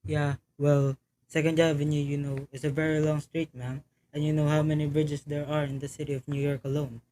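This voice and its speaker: Unhurried, dismissive desk sergeant for radio play, female performer; deep, monotone, matter-of-fact delivery. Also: slight background noise, lightly acted, rough recording. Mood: dismissive, placating. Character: brusque, dismissive New York police desk sergeant. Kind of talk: amateur radio play acting.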